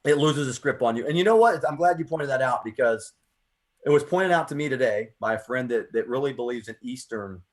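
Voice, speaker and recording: A man's voice: mid-range voice, animated, brisk. An American man hosting a radio poetry program, speaking in an earnest, reflective, emphatic way, whether chatting or reading. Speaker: poetry show host. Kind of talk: conversational radio talk and spoken-word reading.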